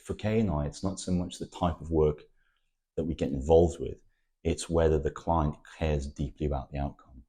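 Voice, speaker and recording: A man from Wales whose first language is Welsh, an architect giving thoughtful, poetic interview answers with frequent pauses and restarts. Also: very deep voice, fairly even intonation, warm tone, slightly rough.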